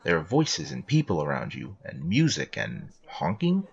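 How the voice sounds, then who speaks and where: A man playing a playful second-person narrator, reading scripted comic narration in a husky voice. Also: sits low, wildly swooping pitch, moderate pace, muffled recording, exaggerated voice. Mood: scene setting.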